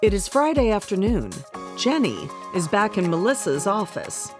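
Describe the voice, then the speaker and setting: A woman's voice: medium pitch, big pitch swings, moderate pace, close to an everyday voice. A woman narrating scripted scene changes for a radio drama. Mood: measured. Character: female narrator setting the scenes. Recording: music under the voice. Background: guitar music.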